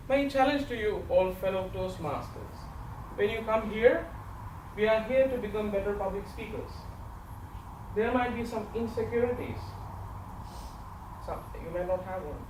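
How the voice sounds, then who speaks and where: High-voiced Indian man speaking from afar. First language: not English; English is his third language. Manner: storytelling with comic timing, animated gestures. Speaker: club member giving a farewell speech. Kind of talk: humorous public speech. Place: large office meeting room with windows and a projection screen. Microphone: far camera, no mic. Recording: echoey room from far off, audience noise.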